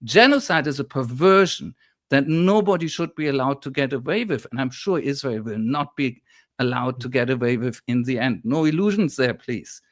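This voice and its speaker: A man's voice: medium pitch, lively intonation, normal speed, dry recording, clean studio sound. A man with a German accent, speaking as a historian guest in a political discussion, emphatic and lecturing, at times indignant.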